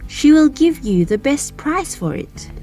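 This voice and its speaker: Lady reading as a young storybook king, earnest and clever, slow lively delivery, soft music. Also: sits high, pitched above the performer's own voice. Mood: persuasive. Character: kind young king, a clever captive weaver.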